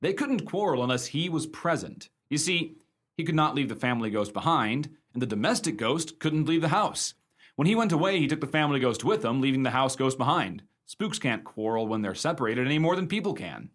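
A genial raconteur of radio drama spins a ghost yarn conversationally. His middle-pitched voice moves up and down with lively expression. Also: a little fast. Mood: amused storytelling. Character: genial husband spinning a ghost yarn to listeners.

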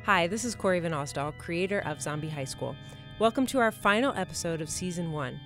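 A woman with a fairly low voice reads a scripted show introduction as its creator. Plucked guitar music plays clearly behind her. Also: animated, fairly quick. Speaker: series creator and host.

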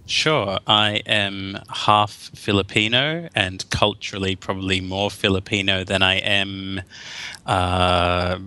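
A man's voice: deep voice, steady tone, takes it slowly, bright, forceful tone, clean tone. A man from Australia, a podcast guest, answering briefly with dry quips. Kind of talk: casual chat.

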